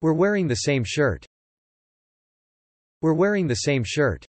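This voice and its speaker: A man's voice: fairly high pitch, big pitch swings, a little slow, darkish tone, no echo. A man narrating an English lesson, reading example sentences and definitions aloud and repeating each one evenly.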